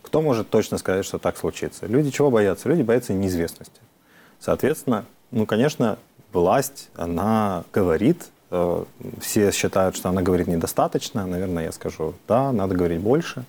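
A man's voice: deep voice, animated, brisk, darkish tone. A man from Ukraine, a member of parliament answering interview questions in an earnest, explanatory way, with frequent hesitations.

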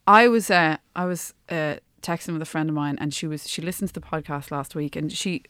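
An Irish woman co-hosting a podcast, talking casually and emphatically, often halting and repeating words as she argues her point. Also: sits low, a bit bright, clear, resonant tone, no echo, studio quality. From Ireland. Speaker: podcast co-host. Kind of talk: casual opinionated discussion.

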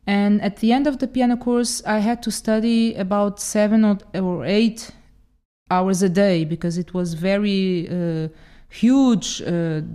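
A woman in her forties, from the Dominican Republic and Portugal, telling her story as a podcast guest with many pauses and restarts. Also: mid-range voice, mellow, clean tone. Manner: hesitant, many fillers and restarts. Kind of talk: casual interview talk.

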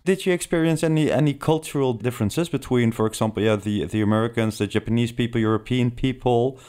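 Smooth-voiced male interviewer. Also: medium pitch, fast talker, darkish tone. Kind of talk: conversational interviewing.

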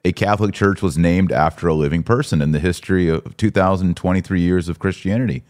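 A man's voice: low voice, fast, a little mellow. A man hosting a conversational religious commentary podcast, often posing incredulous rhetorical questions. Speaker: podcast host.

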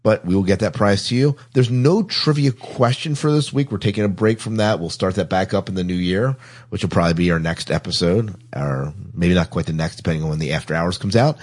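Low-pitched man hosting a podcast. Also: a little fast, darkish tone. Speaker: podcast host. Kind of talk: casual hosting chat with show announcements.

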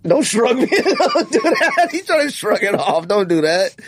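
Podcast host, man, high and very lively voice, teasing banter, faint hiss behind. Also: unhurried. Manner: animated, laughing, teasing. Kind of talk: casual banter among friends.

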